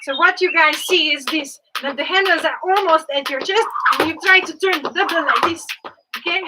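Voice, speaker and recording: A woman's voice: high voice, bright, forceful tone, slight background noise, rough recording. A woman with a Hungarian accent, a jump rope instructor leading a live online class, upbeat and coaching, laughing often over a video call.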